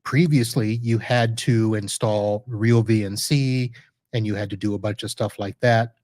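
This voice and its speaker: A man hosting a podcast, casually walking through a software demo live while sharing his screen, chatty and correcting himself as he clicks around. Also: on the low side, fairly even intonation, measured pace, no echo. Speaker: podcast host reviewing network gear.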